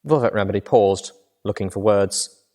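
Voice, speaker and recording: A man reading a fan fiction novel aloud as a first-person narrator, steady and even. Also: lowish voice, normal speed. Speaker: audiobook reader.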